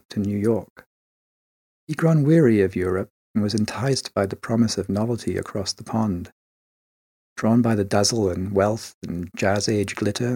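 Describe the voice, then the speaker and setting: A man with a slightly husky voice narrating a scripted short story as in an audiobook. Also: fairly low pitch, a little mellow, dry recording.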